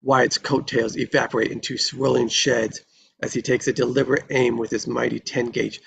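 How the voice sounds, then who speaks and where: Gravelly low-key man narrating a Western script. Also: level tone, moderate pace, noisy background. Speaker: stage-direction reader at a table read. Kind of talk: dramatic screenplay narration.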